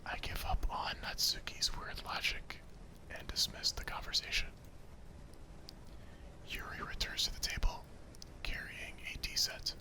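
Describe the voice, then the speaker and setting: A man whispering the narration of a visual novel in a hushed ASMR style. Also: measured pace, long pauses, poor audio.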